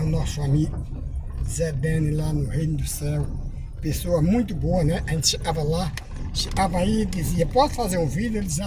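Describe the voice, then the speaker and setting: Man from Ceará, chatting while driving. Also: fairly high pitch. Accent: Rio Grande do Norte Brazilian Portuguese. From Ceará, Brazil. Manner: chatty, folksy, sings along while driving. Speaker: vlogger. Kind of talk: casual driving vlog narration. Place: inside a moving car. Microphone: phone camera on the dashboard. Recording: car and road noise behind the voice.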